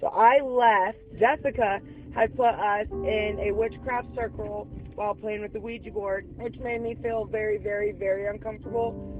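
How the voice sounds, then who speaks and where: A woman being interviewed, recounting a disturbing past experience in a measured, uneasy way. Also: medium pitch, steady tone. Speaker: homeowner, interviewee. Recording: phone-like thin sound, faint music.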